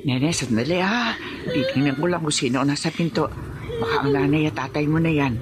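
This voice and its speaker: A woman's voice: low-pitched, sing-song, fairly quick. An actress playing a worried older grandmother in a Filipino radio horror drama, fretful, wailing and melodramatic. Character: worried old grandmother, distraught mother of grown daughter. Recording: loud storm-like background noise. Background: loud ambient sound effects.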